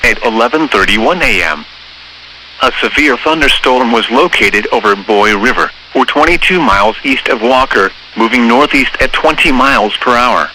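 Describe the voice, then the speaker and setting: Sharp, bright synthetic male weather announcer. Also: mid-range voice, lively intonation, moderate pace. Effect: synthesized text-to-speech voice. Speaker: weather radio warning announcer. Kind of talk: scripted emergency weather bulletin. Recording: noisy weather radio broadcast.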